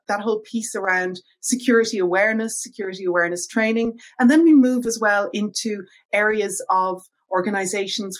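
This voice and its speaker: A woman with an Irish accent, a podcast guest giving articulate, measured explanations. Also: mid-range voice. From Ireland. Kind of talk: interview answers.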